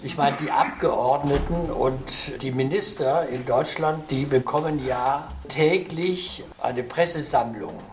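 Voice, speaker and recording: A man's voice: medium pitch, slow, few pauses. Guy from cologne explaining stuff in an interview, lecture-y, roomy echo, bit of background hum. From Cologne, Germany. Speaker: interview guest.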